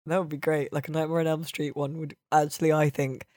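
A podcast host with a mid-pitched voice, recorded dry and close. The talk is casual games chat, full of swearing and wry jokes. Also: little pitch movement, brisk, dark tone, no echo.

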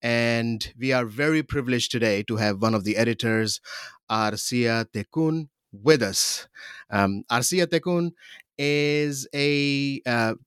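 A man from Pakistan hosting a relaxed academic interview, laughing often and hesitating with fillers and restarts. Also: medium pitch, slow, clear, resonant tone, no echo, clean studio sound. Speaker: interviewer host.

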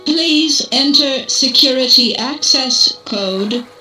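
A woman's voice: high voice, takes it slowly, bright, forceful tone, soft background music, full character voice, rough recording. A woman voicing a security system's computer announcer in a radio drama, formal, procedural and impersonal.

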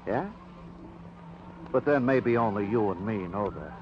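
An actor playing a cornered, sardonic murderer who works as a projectionist, in an old radio crime drama. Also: male voice, lowish voice, lively intonation, measured pace, faint music behind. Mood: wary, taunting. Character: sneering, cornered male murderer, a movie projectionist. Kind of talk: 1940s-50s radio crime drama acting. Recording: muffled, noisy old radio recording.

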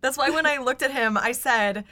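A woman's voice: sits high, moderate pace, pushed and bright. A woman playing in a tabletop show, chatting casually and joking out of character.

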